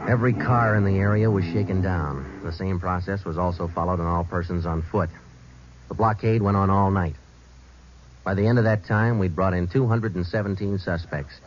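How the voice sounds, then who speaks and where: An actor playing a terse, businesslike American police sergeant in a radio crime drama, speaking in a clipped, matter-of-fact deadpan. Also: male voice, deep voice, normal speed, background noise, natural voice. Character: terse, businesslike male police sergeant narrating his case. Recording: dull old radio recording with music.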